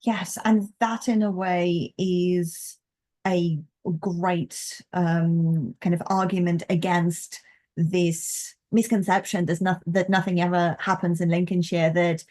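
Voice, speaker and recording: A woman's voice: fairly low pitch, a little mellow. A young woman researcher in a reflective conversation, speaking articulately and thoughtfully with hesitant phrasing. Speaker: postdoctoral folklore researcher and co-host.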